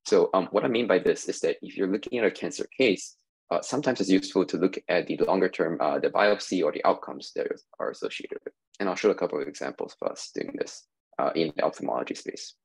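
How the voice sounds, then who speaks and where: Low gravelly man explaining slides. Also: expressive, rough recording. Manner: measured, explanatory, frequent "uh" and "um" fillers. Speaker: industry researcher giving a talk. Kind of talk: recorded conference talk over slides.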